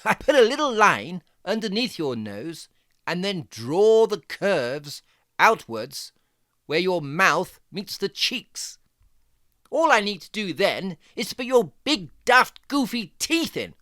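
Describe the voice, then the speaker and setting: A performer voicing a jaunty, fussy fox who gives playful, step-by-step drawing lessons for children in a theatrical, deliberate, encouraging way. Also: medium pitch, sing-song, pushed and bright, dry recording, clean studio sound, exaggerated voice. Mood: playfully bossy. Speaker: presenter of children's drawing tutorial.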